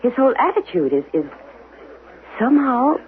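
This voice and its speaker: An actress playing an anxious mother in a regimented future society, speaking haltingly and stiffly formally in a radio drama. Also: on the high side, very animated, narrow, tinny audio, a little hiss or hum. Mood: worried, halting. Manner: halting, repeats words, stiffly formal without contractions. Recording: band-limited 1970s radio broadcast.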